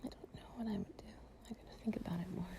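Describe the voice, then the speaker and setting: A woman speaking softly, often in a breathy whisper, in an intimate, affectionate and soothing improvised girlfriend ASMR roleplay. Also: medium pitch, very fast. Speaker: audio roleplay performer playing an affectionate girlfriend.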